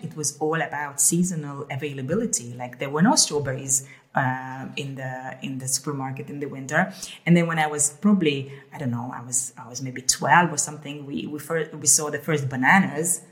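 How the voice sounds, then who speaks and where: A woman's voice: low-pitched, brisk. A middle-aged woman from Hungary, co-hosting a casual conversation and often repeating words as she thinks aloud. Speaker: co-host.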